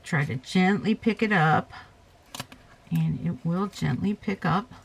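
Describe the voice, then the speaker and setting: A woman with a slight hoarseness from a cold, chatting her way through a paper craft tutorial at her table and correcting herself as she goes. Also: lowish voice, slow, a little hiss or hum. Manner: chatty, talks while working, self-correcting.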